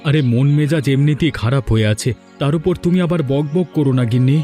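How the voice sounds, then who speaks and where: A man voicing a blunt, short-tempered adult fisherman in a cartoon, gruff and scolding. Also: on the high side, quick pace, mellow, faint music behind, natural voice. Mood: irritable. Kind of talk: cartoon dub acting.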